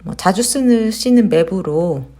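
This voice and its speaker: Low-pitched woman walking through a tutorial. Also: expressive, moderate pace, mellow. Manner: explanatory, conversational, poses questions to viewers. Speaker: tutorial host, digital marketer. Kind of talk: casual step-by-step software tutorial narration.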